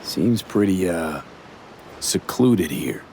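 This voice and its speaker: An actor playing a blunt, skeptical, streetwise mercenary in a video game, talking in terse, clipped, slangy phrases. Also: male voice, low-pitched, animated, slow pace, dark tone. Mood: dry, guarded. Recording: noisy street ambience behind.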